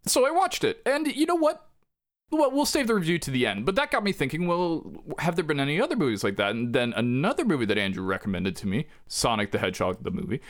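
Animated man in his forties bantering. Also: a little high, quick pace. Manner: animated, self-mocking banter. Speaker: podcast co-host. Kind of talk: casual chat.

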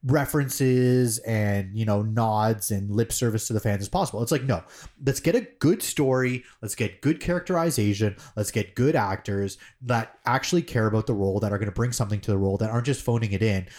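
A man's voice: fairly low pitch, brisk, a little mellow. A man co-hosting a podcast in casual chat, rambling with lots of fillers and casual swearing. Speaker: podcast co-host.

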